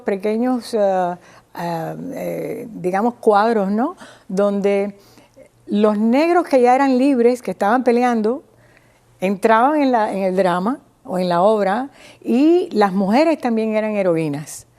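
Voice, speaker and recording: Woman, Cuban accent, medium pitch. Informal lecturer who feels her way through phrases and checks in with the listener. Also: a little mellow. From small town in Cuba. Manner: didactic, halting, checks with listener using tag questions. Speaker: guest speaker on Cuban theatre history. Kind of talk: informal explanatory talk.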